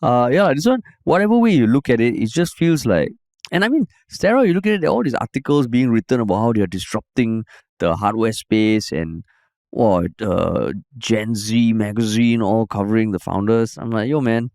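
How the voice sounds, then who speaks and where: A man's voice: mid-range voice, expressive, moderate pace, a little mellow, no room sound, studio quality. A young man with a Singaporean accent co-hosting a podcast, easygoing and playful with slangy asides. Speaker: podcast co-host. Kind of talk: casual podcast chat.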